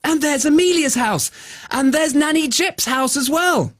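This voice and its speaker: A man's voice: very high-pitched, unhurried, a bit bright, pitched above the performer's own voice. A man voicing an adventurous, wide-eyed three-year-old boy in a children's bedtime story. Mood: excited. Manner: exclaiming, questioning, wide-eyed.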